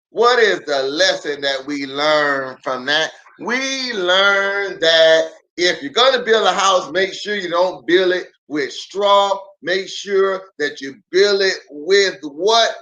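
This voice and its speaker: A man who is a pastor reading a children's story aloud in an animated, theatrical way with drawn-out emphasis. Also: high-pitched, highs cut off.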